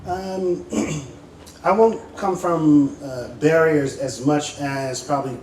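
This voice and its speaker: A somewhat high-pitched man from the United States offers emphatic, encouraging advice as a panelist. His voice rings in an echoey hall, with faint background noise. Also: slow pace, a little mellow. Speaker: spirits industry panelist.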